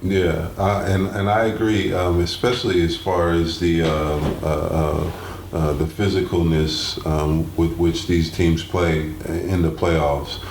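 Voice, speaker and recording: A man hosting a sports talk show, giving game analysis in a halting, deliberate way. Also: sits low, level tone, darkish tone. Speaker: sports radio host. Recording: roomy echo, clear background noise.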